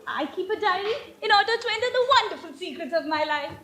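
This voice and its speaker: A woman's voice: extremely high pitch, animated, fast talker, bright, forceful tone, full character voice. A woman playing a romantic, pertly innocent young Victorian ward in a stage comedy, with an ingenuous, theatrical manner. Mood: dreamy, playful. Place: live stage play. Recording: echoey stage play recording.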